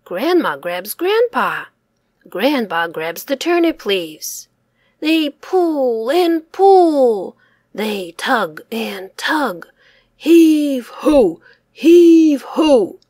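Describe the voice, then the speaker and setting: A woman reads a children's story aloud slowly, her high voice rising and falling with each line. The sound is close and dry, and the delivery is sing-song. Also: sing-song, a little mellow, no room sound. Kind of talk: reading a picture book aloud to children.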